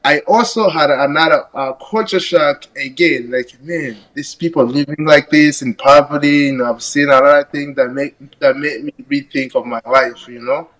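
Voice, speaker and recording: A young man from Burundi, a podcast guest, talking over his life in a halting, reflective way full of fillers. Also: fairly high pitch, fairly bright tone, poor audio. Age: young adult. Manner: reflective, halting, many "you know" fillers. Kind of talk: casual conversation.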